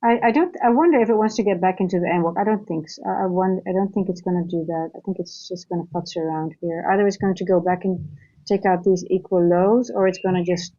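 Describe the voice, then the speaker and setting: Dutch woman streaming trades, warm lowish voice, kinda muffled mic, keeps restarting sentences and asking right?. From Netherlands. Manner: thinks aloud with restarts and tag questions. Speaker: trading coach. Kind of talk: live trading stream commentary.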